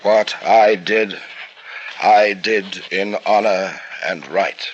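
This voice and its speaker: An actor playing a condemned male poisoner doctor, speaking in slow, grave tones that drop to whispers, in a 1950s radio drama. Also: on the low side, lo-fi sound. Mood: grim, defiant. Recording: noisy old radio recording.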